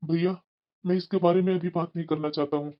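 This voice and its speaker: A man's voice: on the high side, fairly even intonation, fast, natural voice. A man voicing a burdened, irritable father in a cartoon moral drama, aggrieved and complaining, on a muffled recording. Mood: dejected. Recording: dull, muffled lo-fi sound.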